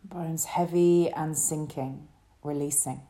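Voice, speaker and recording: A woman leading a guided relaxation meditation as a yoga teacher, speaking in a soothing, gentle way. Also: low voice, steady tone. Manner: soothing, gentle, unhurried instruction.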